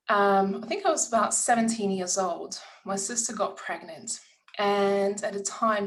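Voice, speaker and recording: A woman's voice: mid-range voice, rough recording. A woman, hosting a summit and telling a personal story in an earnest, encouraging way. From Lithuania. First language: Lithuanian. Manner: earnest and encouraging, occasional restarts. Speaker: summit host. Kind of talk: inspirational welcome speech with personal story.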